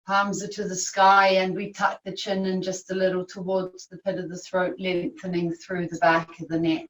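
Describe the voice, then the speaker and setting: A woman teaching yoga nidra, guiding a meditation in a soothing, unhurried manner with long pauses. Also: fairly low pitch, little pitch movement, a bit bright. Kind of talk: guided meditation instruction. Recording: echoey room, distant microphone.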